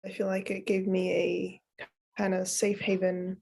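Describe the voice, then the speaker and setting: A woman's voice: medium pitch, completely flat delivery, measured pace, creaky, warm tone, slightly rough. A young woman and former child cast member recalling what the show meant to her.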